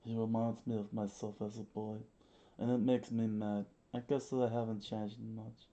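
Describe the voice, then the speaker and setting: A man's voice: fairly low pitch, little pitch movement, normal speed, warm tone, natural voice. A man voicing a stern young rebel leader, firm and dismissive, on a dull lo-fi recording.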